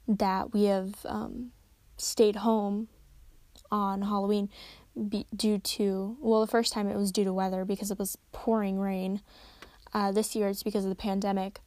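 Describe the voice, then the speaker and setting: Young woman, tired and slightly raspy, casual rambling podcast chat, middle pitch, dry close mic. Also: darkish tone, no echo. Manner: rambling, chatty, self-correcting. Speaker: podcast host. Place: at home. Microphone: close desk microphone.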